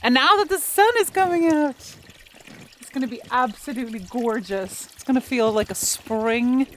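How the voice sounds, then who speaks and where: A woman's voice: fairly high pitch, moderate pace, slight background noise. A woman in her thirties whose first language is Swedish, chatting casually in a vlog outdoors in the freezing cold, laughing often. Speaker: vlogger.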